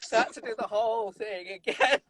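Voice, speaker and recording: A man's voice: high voice, huge pitch swings, a little fast, fairly bright tone. A man in his fifties with an English Midlands accent, chatting as an interview guest and telling stories with lots of fillers and restarts. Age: 50s. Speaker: guest trumpet player. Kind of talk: conversational interview.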